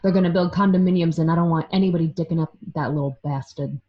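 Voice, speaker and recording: A blunt, hard-nosed gangster man, played by a woman in a radio crime drama. The voice is rough and husky. It comes through a muffled home recording. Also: fairly low pitch, fast talker, lightly acted. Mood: blunt, urgent. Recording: dull lo-fi home connection.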